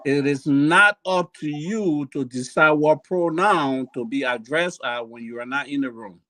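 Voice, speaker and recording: A man's voice: a little high, measured pace, fairly bright tone. A man giving a blunt, dismissive opinion as a participant in a casual discussion.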